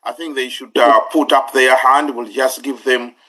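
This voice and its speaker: A mid-pitched man speaks on a video call. He makes formal, procedural suggestions about how the meeting should run. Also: steady tone, normal speed, pushed and bright. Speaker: chapter representative. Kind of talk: online meeting remarks.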